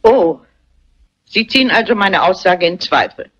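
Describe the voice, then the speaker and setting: An actress playing a strong-willed elderly spinster sleuth in a crime radio play, speaking in a brisk, insistent and formal way. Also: female voice, medium pitch, animated, vocal fry, a bit bright, breathy edge, exaggerated voice. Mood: indignant. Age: older. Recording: muffled old soundtrack.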